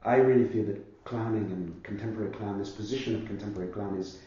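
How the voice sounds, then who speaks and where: A man in his fifties, an actor and theatre director, talking thoughtfully about his career in a husky voice from a cold, often pausing and restarting. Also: fairly low pitch, warm tone, heavy reverb, dull audio.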